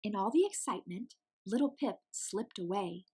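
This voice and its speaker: A woman reading a children's storybook aloud in an expressive narrating style. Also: medium pitch, moderate pace, slightly rough.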